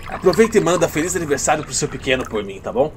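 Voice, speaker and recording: A man's voice: big pitch swings, quick pace, over music. A man with a Brazilian accent playing a shifty, breezily reassuring pizzeria owner in a fan-dubbed film. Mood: reassuring, evasive. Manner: hesitant fillers, breezy reassurance. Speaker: voice actor in a fan dub. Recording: faint rumbling noise behind.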